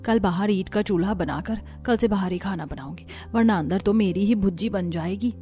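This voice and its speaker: A woman's voice: medium pitch, fairly quick, mellow, close to an everyday voice. A woman playing a weary, complaining village housewife in cartoon dialogue. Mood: exasperated.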